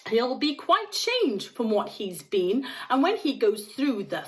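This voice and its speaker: A woman performs a band of tiny, gleeful little folk from a children's storybook. They chant moralising rhymes in a high, theatrical singsong that swoops up and down, drawing words out slowly for emphasis. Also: big pitch swings. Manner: emphatic, drawn-out, singsong delivery.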